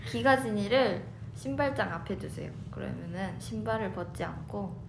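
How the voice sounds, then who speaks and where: A woman's voice: mid-range voice, animated, moderate pace, vocal fry, background noise. Earnest young woman co-hosting radio. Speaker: radio show co-host. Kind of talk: casual radio chat. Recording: echoey studio with background music. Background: background music.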